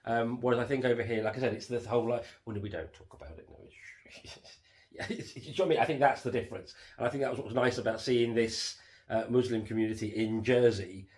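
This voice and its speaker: A man co-hosting a casual home podcast, rambling with lots of fillers and restarts, his voice going hoarse. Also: mid-range voice, fast, a little mellow. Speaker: podcast co-host reviewing a TV series. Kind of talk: casual chat.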